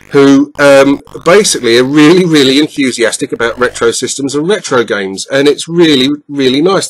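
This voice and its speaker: A man's voice: medium pitch, rough recording. A middle-aged man with a stuffed-up nose, casually commenting over a retro game with lots of ums and uhs. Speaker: retro gaming YouTuber.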